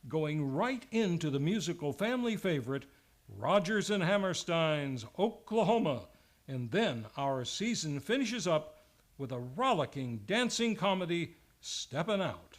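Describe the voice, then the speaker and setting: Man, radio announcer, fairly high voice, measured pace, showy scripted promo style. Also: very animated. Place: radio studio, live performance.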